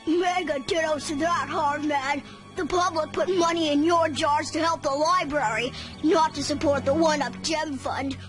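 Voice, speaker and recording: A woman's voice: high voice, fairly even intonation, moderate pace, music in the background, close to an everyday voice. A woman voicing a laid-back cartoon kid with hippie surfer slang and a wordy, moralising streak. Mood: disapproving. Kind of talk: animated cartoon voice acting.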